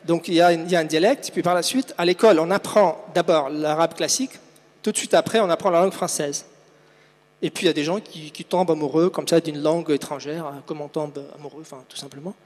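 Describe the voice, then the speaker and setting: A man's voice: a little high, fairly even intonation, fast talker. A man from Tunisia, an author answering spontaneously on a public panel, hesitant and often repeating his words. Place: large hall at a book fair. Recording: echoing hall, long reverb.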